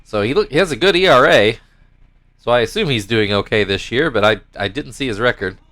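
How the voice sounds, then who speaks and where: A man's voice: mid-range voice, expressive, fairly quick, fairly bright tone. Stuffy-nosed man calling a video game. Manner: rambling and self-correcting, mock play-by-play calls. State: stuffy nose. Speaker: gaming YouTuber commentating his own baseball game league. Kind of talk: casual play-by-play game commentary.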